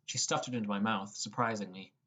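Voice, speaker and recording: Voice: low voice, steady tone, fast talker, bright, forceful tone. An audiobook narrator reading a scripted first-person fantasy story.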